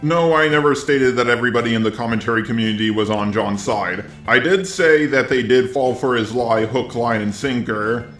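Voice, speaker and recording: A man's voice: mid-range voice, moderate pace, few pauses, fairly bright tone. A man's synthetic text-to-speech robot voice reading scripted rebuttal commentary as the host of a video. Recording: roomy echo, music behind. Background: background music.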